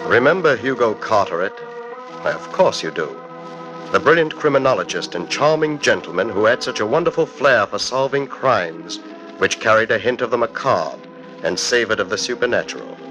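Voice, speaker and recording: A man narrating a 1940s radio mystery in a theatrical, deliberate storytelling manner. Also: fairly low pitch, very animated, over music, rough recording. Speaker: narrator, host. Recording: old 1940s radio broadcast.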